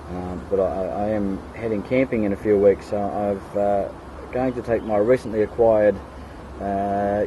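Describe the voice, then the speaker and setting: An Australian man chatting casually as an amateur radio operator, pausing often, recorded outdoors. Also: lowish voice. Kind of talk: casual radio chat with spelled callsigns. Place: outdoors in a kayak on a creek. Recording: outdoor recording with steady background noise.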